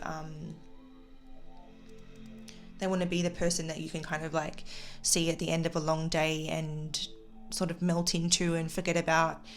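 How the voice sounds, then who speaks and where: Woman, gravelly fry, casual tarot reading, reflective and tentative phrasing, clear music behind her. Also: sits low. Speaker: tarot reader and channel host.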